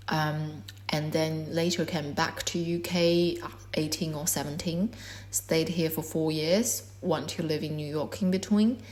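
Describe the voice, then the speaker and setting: A woman in her twenties whose first language is Korean gives a measured, reflective talk about her art. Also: low voice. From China. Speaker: artist. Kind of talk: prepared artist talk.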